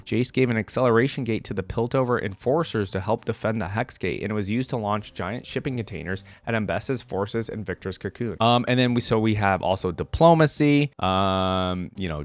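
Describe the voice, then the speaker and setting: A man's voice: lowish voice, dry recording. A man giving casual, off-the-cuff commentary as a video host, informal and hesitant as he thinks aloud.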